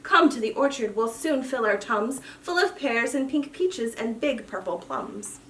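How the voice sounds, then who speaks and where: A woman voicing a kind, eager young boy who wants to be a knight, in a higher, fussing voice. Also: big pitch swings, unhurried, fairly bright tone, echoey room, distant mic, slight background noise. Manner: high, fussing, solicitous.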